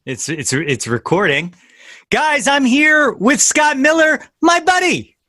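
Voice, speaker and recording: A man's voice: very high-pitched, sing-song, takes it slowly, pushed and bright, no echo, studio quality. A middle-aged American man hosting a podcast, effusive and affectionate, moving between scripted introduction and casual interview chat. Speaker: podcast host.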